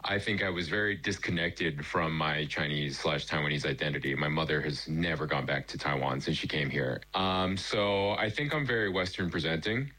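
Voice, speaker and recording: American man, chef guest, very deep yet bright, easygoing talk. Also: normal speed, hardly any pauses, poor audio. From New York. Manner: relaxed, conversational, with ums. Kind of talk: interview answers.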